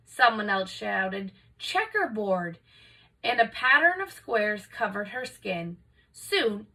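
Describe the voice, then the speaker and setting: A woman reading a children's picture book aloud in an expressive, dramatic storytelling manner. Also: on the high side, creaky, slightly rough, far from the mic, poor audio. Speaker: storybook reader.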